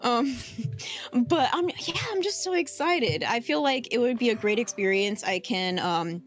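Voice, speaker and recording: A woman's voice: on the high side, expressive, measured pace, soft background music. Chatty young woman, casual podcast talk. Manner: chatty, lots of ums and likes. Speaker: podcast co-host. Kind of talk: casual chat.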